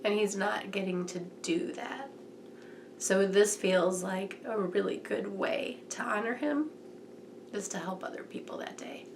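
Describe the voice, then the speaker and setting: A mother speaking in an interview in an earnest, measured way. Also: medium pitch, vocal fry, noisy background. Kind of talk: reflective interview.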